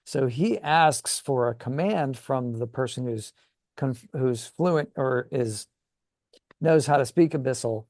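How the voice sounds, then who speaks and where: A man's voice: medium pitch, takes it slowly, darkish tone, no room sound, clean studio sound. A man co-hosting a gaming show, talking casually and enthusiastically as he thinks aloud. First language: English. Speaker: co-host of a tabletop gaming show. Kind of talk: casual conversational chat.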